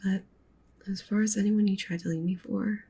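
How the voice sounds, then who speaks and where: A woman playing a doting, possessive wolf witch girlfriend in an ASMR roleplay, speaking softly and breathily, often in a whisper. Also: medium pitch, steady tone, moderate pace, husky. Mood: possessive, menacing. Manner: cooing, doting, with possessive menace. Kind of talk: ASMR roleplay, intimate soft speech and whispering.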